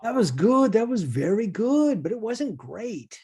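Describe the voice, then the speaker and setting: An actor plays an exacting studio boss in a stage play read-through. He is blunt but encouraging, a man whose pitch rises and falls dramatically as he speaks. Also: very animated, measured pace, a little mellow, breathy edge, full character voice. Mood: frank appraisal. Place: online video call.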